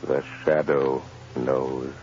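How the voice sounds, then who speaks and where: A spooky super deep invisible avenger, slow and menacing, old radio drama, muffled sound. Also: male voice, flat delivery, a little mellow, a little hiss or hum, full character voice. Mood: ominous. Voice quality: slow, ominous, commanding. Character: the Shadow, eerie deep-voiced invisible male avenger. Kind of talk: 1930s radio drama acting. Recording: dull lo-fi 1930s radio recording.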